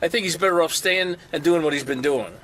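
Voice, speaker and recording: A man's voice: on the high side, quick pace, crowd noise. Blunt, foul-mouthed man. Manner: blunt and profane. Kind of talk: casual interview comment.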